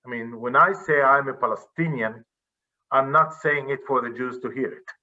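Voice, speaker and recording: A man explains his points in an earnest, measured way, his voice bright and forward. He is speaking over a video call, and the sound comes through dull and lo-fi. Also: mid-range voice. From Israel. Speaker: presenter, director of a civic center.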